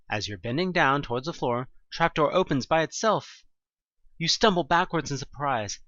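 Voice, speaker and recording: A man reading scripted second-person narration for an audio drama, expressive and a little wry. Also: on the high side, no room sound, lo-fi sound.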